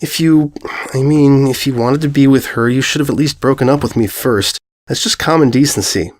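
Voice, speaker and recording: A man's voice: medium pitch, moderate pace, natural voice. Man voicing a flustered, jealous young mare pony. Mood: hurt, stammering. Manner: stammering, sarcastic.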